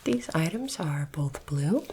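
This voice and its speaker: A woman doing a soft-spoken, whispered ASMR roleplay as a polite, formal alien interviewer, with deliberate phrasing. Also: sits low, big pitch swings, noisy background.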